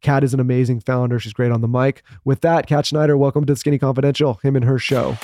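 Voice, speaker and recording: A man's voice: mid-range voice, little pitch movement, fast talker, over music. A man hosting a podcast, reading an upbeat, promotional introduction for the episode. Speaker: podcast host. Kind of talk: read show introduction.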